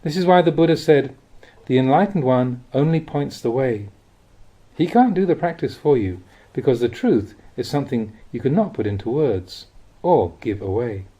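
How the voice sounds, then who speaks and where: A man reads a spiritual teaching aloud in a measured, expressive, explanatory way, like an audiobook. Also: medium pitch, husky. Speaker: reader of Buddhist teachings. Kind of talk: audiobook reading of a spiritual talk.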